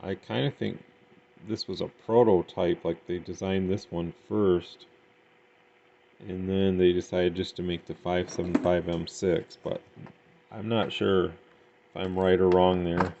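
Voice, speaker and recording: Male hobbyist reviewer, low creaky voice, tentative and informal. Muffled lo-fi home recording with faint noise. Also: flat delivery, unhurried. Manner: unpolished, tentative, thinking aloud. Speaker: CB radio hobbyist reviewing gear. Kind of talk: casual hands-on gear demonstration.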